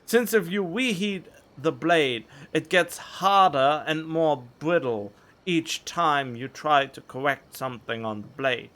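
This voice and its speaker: A man's voice: on the high side, slow, few pauses, sharp tone. A man giving casual commentary while playing a game, musing and chatty as he thinks aloud into a desktop mic. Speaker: game streamer. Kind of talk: casual let's-play commentary. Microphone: desktop USB mic. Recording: game music in background.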